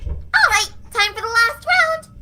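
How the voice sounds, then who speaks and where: Squeaky, gleefully sadistic cartoon party pony. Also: female voice, animated, measured pace, over music. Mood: cheerful, explaining. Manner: perky, singsong, mock-friendly.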